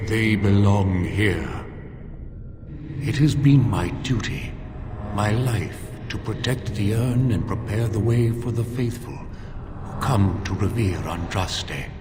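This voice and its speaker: A man's voice: on the low side, slow. A man playing a solemn, ageless guardian of a holy relic in a video game, speaking in a stately, formal way. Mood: solemn welcome. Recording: echoey, with clear background ambient noise.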